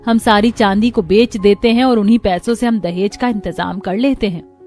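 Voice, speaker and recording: A woman voicing a gentle poor old mother. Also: mid-range voice, fairly even intonation, moderate pace, faint music behind, natural voice. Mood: caring. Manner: soft, caring.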